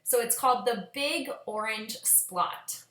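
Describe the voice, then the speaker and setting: A woman reading a children's storybook aloud as a storyteller, with expressive, measured phrasing. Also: a little high. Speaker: storyteller, teacher. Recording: echoey room.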